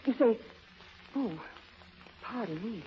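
An actress playing a sly, flirtatious, worldly former secretary with a condescending, theatrical manner in a 1930s radio drama. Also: female voice, fairly high pitch, expressive, takes it slowly. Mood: sultry, teasing. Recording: muffled, noisy old 1930s radio recording.

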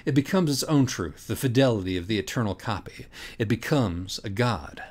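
Theatrical man with very animated pitch. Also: normal speed. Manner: theatrical, emphatic, sardonic. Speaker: audiobook reader. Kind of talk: dramatic first-person reading of a mock-philosophical rant.

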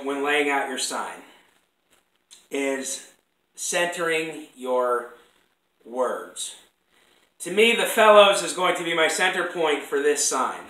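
A man with a cold-roughened, hoarse voice talks through his woodworking steps in a loose, self-correcting way. His tone is bright and forceful, a little higher than most men's. The sound bounces around an echoey shop room, picked up by a camera across the bench. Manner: rambling, self-correcting, apologetic asides. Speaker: woodworking instructor. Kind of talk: casual workshop demonstration. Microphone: fixed camera across the workbench.

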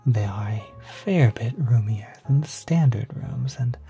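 A performer voicing a courteous, eager demon hotel receptionist in a soft, whispery, reassuring ASMR roleplay. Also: medium pitch, lively intonation, measured pace, husky, faint music behind, exaggerated voice. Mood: smooth, inviting. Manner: polite, reassuring, salesmanlike.

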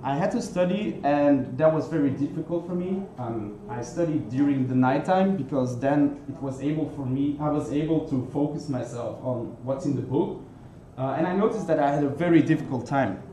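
A man's voice: fairly high pitch, mellow. A young man from Belgium with a Belgian accent, giving a conference talk in English, earnest and full of ums and restarts. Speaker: conference speaker. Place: conference hall. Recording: echoey hall with faint noise.

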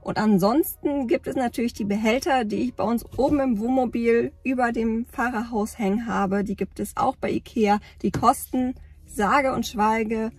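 A woman's voice: fairly high pitch, moderate pace, darkish tone, breathy edge. A woman in her thirties chatting casually in a vlog from inside a parked car, rambling with lots of fillers, filmed at arm's length. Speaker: camping vlogger. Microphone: phone or camera at arm's length.

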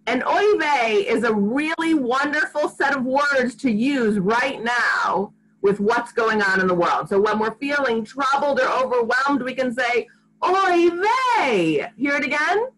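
A woman in her forties reading a picture book aloud to young children in an expressive, sing-song, deliberate way, recorded at home on a computer mic. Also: fairly high pitch, poor audio. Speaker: rabbi reading to preschoolers. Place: small furnished room at home. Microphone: computer microphone on a video call.

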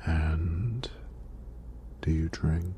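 A man playing a gentle, flirty EMT in a soothing, soft-spoken ASMR roleplay, breathy and deep, with reassuring praise. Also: drawn-out words, a little hiss or hum, exaggerated voice. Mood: soothing, attentive. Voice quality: deep, gravelly, breathy near-whisper. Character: gentle, flirty hunky male EMT examiner.

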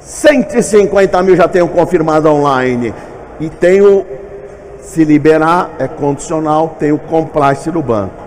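Fast-chanting Brazilian auctioneer man. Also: on the high side, darkish tone, slight background noise. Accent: Brazilian Portuguese. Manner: rattles off bids in fast repetitive chant, calling out raises. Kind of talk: rapid vehicle auction calling.

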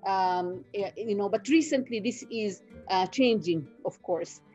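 A middle-aged woman from Sudan whose first language is Nubian, a professor giving an online guest lecture in a measured way with many fillers and restarts, on a video call from home. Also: medium pitch, mellow, muffled recording, faint music behind. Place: home room.

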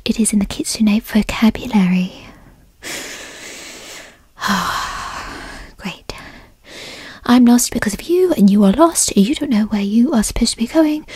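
A woman voicing a bratty, insecure centuries-old kitsune fox spirit in a huffy, breathy ASMR whisper, close to the mic. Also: mid-range voice, a little mellow, no room sound. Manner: huffy, sighing, breathy whispering, drawn-out words. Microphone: close microphone in a dry space.

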